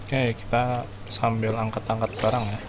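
A man's voice: on the low side, completely flat delivery, moderate pace, very noisy. A man with an Indonesian accent giving casual, slangy let's-play commentary over game music. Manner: slangy, offhand. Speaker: game streamer. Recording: loud game music behind.

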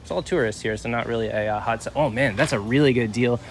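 A man's voice: medium pitch, quick pace, slight background noise. A man in his thirties hosting a casual vlog, rambling through store aisles with lots of fillers and self-corrections, recorded on a handheld camera indoors. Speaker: vlog host. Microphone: handheld camera held by the filmer.

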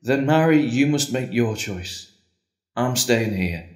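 An actor playing a devout, tender priest in an audio drama, speaking earnestly and solemnly. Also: male voice, mid-range voice, lively intonation, takes it slowly. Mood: solemn, resolved. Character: John, devout, tender, doubting priest facing the end. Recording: echoey, roomy sound.

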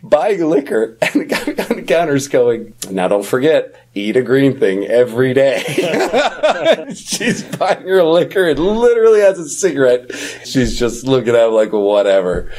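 A middle-aged man chatting casually about films as a podcast co-host, talkative and quick to laugh. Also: medium pitch, big pitch swings, darkish tone.

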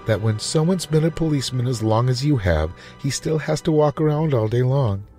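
Male narrator as devoted housewife, raised pitch, anxious, coaxing, storybook dialogue. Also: lively intonation, a little fast, mellow, soft background music, close to an everyday voice. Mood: attentive, coaxing. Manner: fussing, coaxing. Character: devoted, anxious, eager-to-please young housewife.

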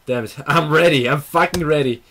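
Young Austrian gamer host; energetic reactive commentary on a headset, fairly high pitch, quick swearing and laughs. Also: male voice, slight background noise. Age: young adult. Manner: excitable, swearing, laughing, reads game text aloud. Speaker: let's play host. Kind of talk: gaming commentary. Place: small room at a computer. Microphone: headset mic.

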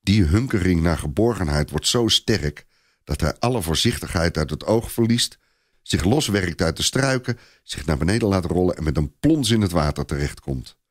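A man narrating a scripted story in a dramatised, expressive and deliberate storytelling style. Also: low voice, dry recording, clean studio sound.

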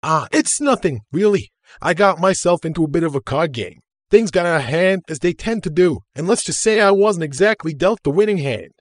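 A chubby, cheeky younger brother with a raised, eager voice, played by a male narrator. He talks fast and breezily, full of excitement. The recording is dry and close. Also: fairly high pitch, dry recording, pitched above the performer's own voice, natural voice. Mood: breezy.

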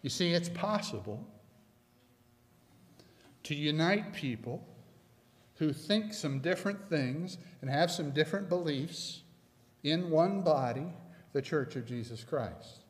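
Slow, emphatic middle-aged male preacher. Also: on the high side, lively intonation. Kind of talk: sermon. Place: church sanctuary, at a pulpit. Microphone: pulpit microphone. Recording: long church reverb.

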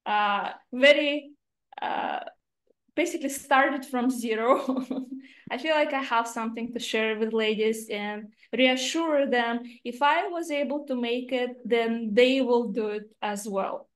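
Russian-accented woman on a roomy video call. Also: a little high. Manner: earnest, slightly halting phrasing. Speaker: guest investor. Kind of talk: remote interview. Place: home office indoors. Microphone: laptop camera on video call.

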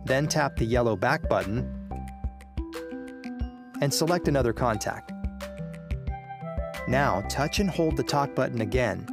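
A man's voice: medium pitch, expressive, unhurried, long pauses. A man narrating a scripted step-by-step tutorial. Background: music.

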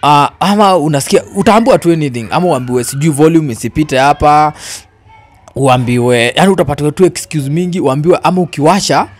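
Male, 20s, Kenyan accent. Quick, chatty storyteller who explains through questions and repetition. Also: a little high. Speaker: storyteller guest. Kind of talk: casual storytelling, code-switching chat. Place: indoors on a living-room sofa. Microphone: handheld microphone.

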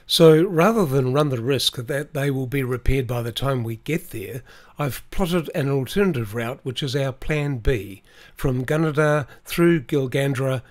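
Measured man narrating. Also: mid-range voice. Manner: measured, explanatory. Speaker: vlogger. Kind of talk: scripted voice-over narration.

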